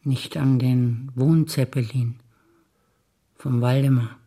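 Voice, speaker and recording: An older woman, an actress reciting memories in a quiet, measured way on stage. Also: a bass voice, steady tone, husky.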